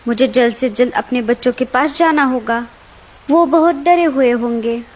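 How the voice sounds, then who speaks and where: A woman's voice: a little high, moderate pace, natural voice. A woman voicing a worried but brave mother sparrow in an earnest, emotive way for a children's cartoon story.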